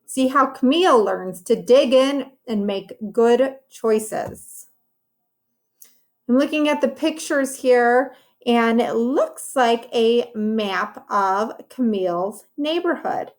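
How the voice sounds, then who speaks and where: Slow-paced woman reading aloud to children. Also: on the high side. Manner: simple, instructive phrasing with encouraging asides to children. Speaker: teacher reading a story to children. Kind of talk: read-aloud lesson for young children, with commentary on pictures.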